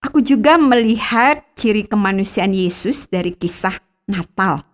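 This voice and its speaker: A middle-aged woman from Bangka, Indonesia, reading a scripted devotional reflection in a measured, earnest way, lifting the start of each new thought. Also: medium pitch, lively intonation. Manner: measured, earnest reading with lifted phrase openings. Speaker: devotional narrator.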